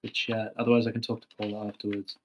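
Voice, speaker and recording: A man giving informal feedback on a screenplay in a tentative, rambling way, softening his criticism. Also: on the low side, level tone, fast, a little hiss or hum. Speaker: participant giving script feedback.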